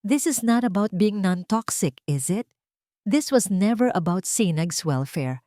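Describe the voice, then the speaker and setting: A woman playing a cold, stern executive who speaks in measured, commanding words in an audio drama. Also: medium pitch, animated, warm tone, dry recording, clean studio sound, natural voice. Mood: cold accusation.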